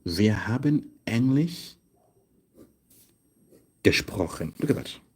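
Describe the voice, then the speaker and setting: Slow husky male German tutor. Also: fairly low pitch, expressive. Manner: slow, repeats phrases word by word, asks "right?" often. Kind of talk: online language lesson, writing on cards. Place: desk indoors.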